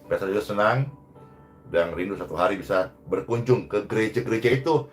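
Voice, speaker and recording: A man preaching a sermon as a pastor, exhorting emphatically and moving between loud rises and hushed phrase endings. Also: on the low side, a little fast, faint music behind.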